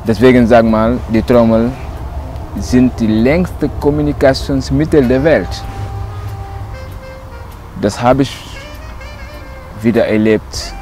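Slow-spoken Senegalese man over music. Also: medium pitch, darkish tone. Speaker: griot, percussionist. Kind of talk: documentary interview to camera. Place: outdoors in leafy woodland.

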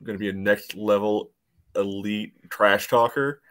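A man with a deep voice and little variation in pitch. He rambles casually as a sports podcast panelist, padding his talk with fillers over a webcam call. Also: fairly even intonation, unhurried, a bit bright. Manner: rambling, peppered with "you know". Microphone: webcam video call.

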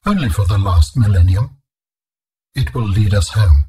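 An actor voicing an ancient, solemn alien in a video game, speaking in formal, measured, grave phrases with a husky tone. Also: male voice, lowish voice, animated, dark tone. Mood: calm, grave. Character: ancient alien architect being, male, solemn and calm.

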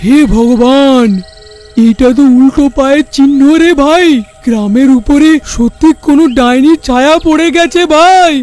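A man's voice: very high voice, moderate pace, a little mellow, faint music behind. A man voicing an earnest, grave villager who urges and advises in a cartoon. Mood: alarmed. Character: earnest male villager advising a haunted neighbour. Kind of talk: cartoon voice acting.

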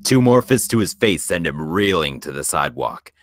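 A man's voice: low-pitched, big pitch swings, normal speed, a little hiss or hum. A man reading screenplay stage directions as scripted narration for a table read.

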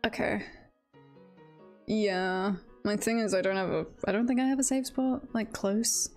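Female game streamer, unhurried and fry-heavy, casual self-talk with repeated phrases. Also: medium pitch, a little mellow, soft background music. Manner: chatty, self-talking, often repeats phrases. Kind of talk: casual live game commentary, thinking aloud.

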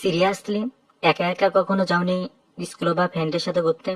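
Lady doing a calm teasing young pilot dude, lower voice, sounds a little far from the mic. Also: moderate pace, dry recording, lightly acted. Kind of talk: romantic audio story acting.

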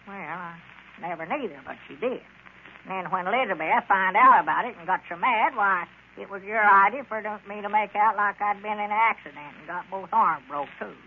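A man's voice: sits high, a little fast. An actor playing a fretful, henpecked old rural man with a Southern American drawl, in a folksy, plaintive 1930s radio comedy style. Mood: defensive complaining. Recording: scratchy, tinny 1930s radio broadcast.

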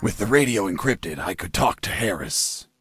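An actor playing a gruff, wisecracking soldier in a video game, with a husky voice and deadpan delivery. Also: male voice, lowish voice, normal speed, faint music behind. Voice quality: husky, gravelly. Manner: deadpan, dry. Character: gruff male soldier recounting his prison escape, deadpan wisecracker. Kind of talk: video game voice acting, narration.

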